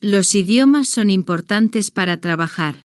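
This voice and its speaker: A woman narrates a beginner's story at a slow, deliberate pace, setting each short sentence apart. Her voice is smooth and well-rounded, with a mid-range pitch. The sound is close and dry, with no trace of the room. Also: expressive, no room sound. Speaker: language-learning narrator.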